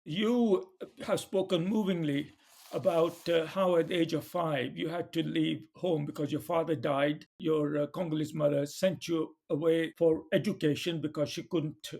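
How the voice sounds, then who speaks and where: A man hosting a podcast, asking questions gently and hesitating often with "uh.". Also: on the high side, fairly even intonation, moderate pace, gravelly. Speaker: podcast host. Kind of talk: interview questions.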